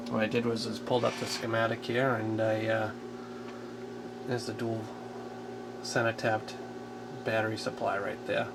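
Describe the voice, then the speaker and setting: A man's voice: fairly low pitch, gravelly. A man giving a casual, unscripted hobbyist show-and-tell in a hesitant, rambling, matter-of-fact way. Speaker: radio hobbyist showing a restoration project. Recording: loud steady background hum and noise.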